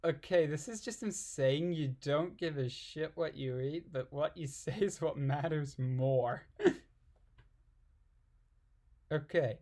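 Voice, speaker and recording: A man's voice: fairly high pitch, slow, long pauses, warm tone. A man reading aloud and commenting casually in an irreverent, giggly, puzzled manner. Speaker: amateur reader and commentator.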